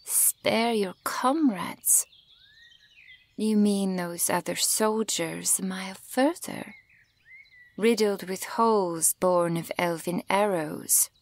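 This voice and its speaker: A woman voicing a centuries-old elven archer, stern and bitter toward humans, in a breathy, measured, dramatic ASMR roleplay delivery that often drops toward a whisper. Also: medium pitch. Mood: scornful. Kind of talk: ASMR fantasy roleplay audio acting.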